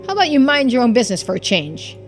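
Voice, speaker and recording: A woman's voice: a little high, sing-song, quick pace, soft background music. A woman voicing a cantankerous, dismissive old male tycoon from a video game, with blunt, folksy old-timer phrasing. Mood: defensive.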